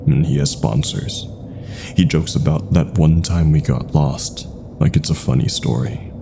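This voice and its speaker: A man reads a scripted horror story in a very low, gravel-edged voice. His delivery is slow and deliberate, with hushed phrase endings. There is faint noise behind him.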